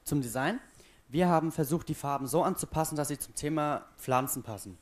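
A man's voice: fairly high pitch, a little fast. A man from Germany presenting the design of his team's website in a matter-of-fact way. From Darmstadt area, Germany. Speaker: student presenter.

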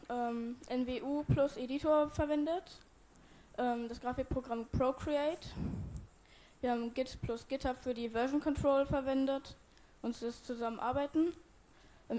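A woman's voice: high-pitched, dark tone, rough recording. A woman presenting her team's web project and giving a live demo, with many hesitant fillers. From Germany. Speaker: student presenter.

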